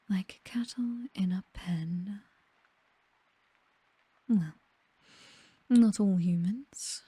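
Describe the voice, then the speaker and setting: A woman with a British accent playing a possessive, seductive vampire mistress in a whispered, breathy ASMR roleplay, doting yet condescending and coolly menacing. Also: medium pitch, mellow, dry recording, heavily acted. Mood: cold, matter-of-fact.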